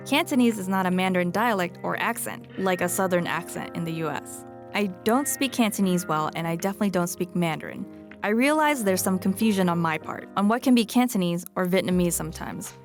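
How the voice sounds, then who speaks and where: A woman hosting a podcast, narrating in a reflective, measured way and interviewing a guest. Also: medium pitch. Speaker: podcast host.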